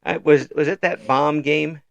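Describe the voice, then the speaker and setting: A man's voice: on the high side, fairly even intonation, gravelly, muffled recording. A man with an American accent interviewing in a casual chat, joking and feeling his way through questions. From United States. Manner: jokey, halting questions.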